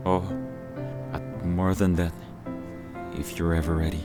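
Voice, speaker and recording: Guy acting lovelorn ex screen partner, deep voice, sincere n halting, radio drama w music under. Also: level tone. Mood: wistful. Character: earnest, lovelorn former screen partner of a doctor.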